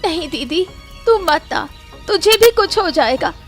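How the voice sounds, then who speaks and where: A woman's voice: extremely high pitch, lively intonation, measured pace, faint music behind, voice pushed up. A woman voicing a frightened, self-sacrificing younger sister, pleading shrilly in a cartoon story style. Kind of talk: Hindi cartoon moral story acting.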